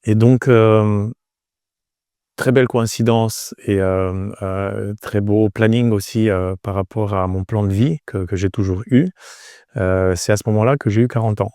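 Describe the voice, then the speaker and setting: A man in his forties from Romania, answering interview questions conversationally, with frequent pauses and repeated words. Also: lowish voice, steady tone, warm tone, no room sound, studio quality. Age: 40s. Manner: hesitant, pausing often with repeated words. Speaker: entrepreneur, interview guest.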